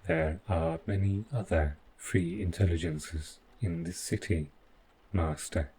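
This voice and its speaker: A man playing an overprotective, possessive robot butler in an audio roleplay, calmly menacing yet devoted, with formal, measured, clinical phrasing. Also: low-pitched, slight background noise. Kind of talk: scripted audio roleplay addressed to the listener.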